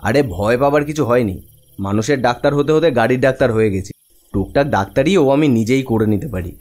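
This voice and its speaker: Man as a fast-talking village mechanic. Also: mid-range voice, animated, a little mellow, lightly acted. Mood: calmly reassuring. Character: reassuring mysterious village car mechanic, offhand. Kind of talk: horror cartoon acting.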